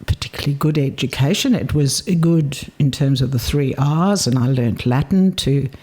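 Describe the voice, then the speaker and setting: Mellow, husky woman in her seventies. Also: extremely low pitch. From South Africa. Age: 70s. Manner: reflective, measured storytelling with pauses. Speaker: interviewee. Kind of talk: reminiscing in a recorded interview.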